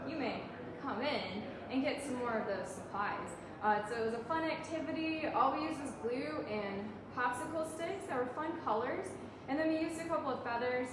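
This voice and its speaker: A woman leading story time as a children's librarian, talking simply to preschoolers, asking them questions and reading aloud. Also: sits high. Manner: simple child-directed talk with frequent questions. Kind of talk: interactive read-aloud to preschoolers. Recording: very echoey room, distant mic, noisy.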